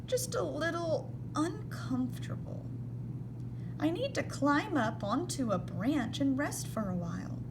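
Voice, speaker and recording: A woman voicing a gentle, playful little female caterpillar in a storybook, with a soft, sweet manner. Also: high-pitched, animated, unhurried, background noise, voice pushed up. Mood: uneasy, reassuring.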